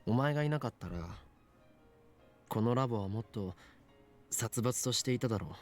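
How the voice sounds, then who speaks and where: An actor playing a grandiose young self-styled mad scientist in a Japanese visual novel, theatrical and pompous. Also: male voice, mid-range voice, animated, fast, soft background music, natural voice. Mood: gentle, serious.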